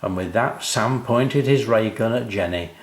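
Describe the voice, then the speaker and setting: An older man narrating a children's story, unhurried and expressive, speaking directly to young listeners. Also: on the low side, roomy sound.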